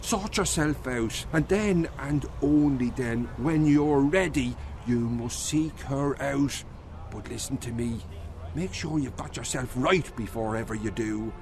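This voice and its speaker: A man's voice: medium pitch, measured pace, darkish tone, a little hiss or hum, natural voice. A man playing an earnest, fatherly mentor in a radio drama, giving stern but kind advice.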